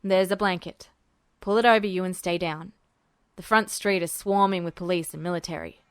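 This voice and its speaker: Storybook role: woman as gruff, protective man, pitched well down, smooth and blunt, close dry sound. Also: steady tone, moderate pace, no room sound, close to an everyday voice. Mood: urgent, hushed. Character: gruff, protective man helping a young fugitive.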